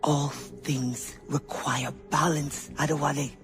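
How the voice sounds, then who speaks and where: A woman's voice: low voice, fairly even intonation, slow, music in the background. A woman playing a weary, pragmatic and guarded informant in a dramatic video game scene. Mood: bitter restraint.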